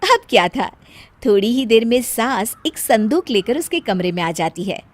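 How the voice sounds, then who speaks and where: A woman's voice: fairly high pitch, very animated, normal speed, no echo. A woman narrator reading a scripted story for a cartoon.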